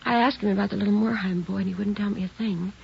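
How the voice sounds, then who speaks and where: A woman playing a fretful, halting American mother of a young boy in a 1940s radio drama. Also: medium pitch, fairly even intonation, vocal fry, darkish tone, natural voice. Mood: anxious, bewildered. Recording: muffled, hissy 1940s radio recording.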